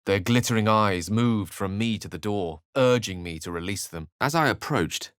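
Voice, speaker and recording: An actor playing a grave adventurer, narrating his own haunted thoughts in a solemn, measured, dramatic way for a video game. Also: lowish voice, fairly bright tone, smooth, dry recording, studio quality, close to an everyday voice. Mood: tense, solemn. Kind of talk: scripted first-person game narration.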